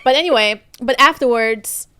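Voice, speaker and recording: A woman's voice: on the high side, normal speed, slight background noise. A young woman from Portugal with a Portuguese accent, a comedian telling stories in casual podcast chat. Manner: storytelling, animated. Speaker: comedian guest.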